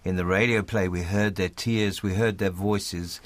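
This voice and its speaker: Earnest, low-voiced New man. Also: fairly even intonation, measured pace. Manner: earnest, articulate, repeats phrases for emphasis. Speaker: playwright. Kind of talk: thoughtful interview talk.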